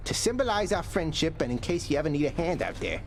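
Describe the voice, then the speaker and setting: An actor voicing a wisecracking, chummy skeleton pal in a video game, gruff but sentimental and very colloquial. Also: male voice, medium pitch, lively intonation, takes it slowly, music in the background. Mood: chummy, touched.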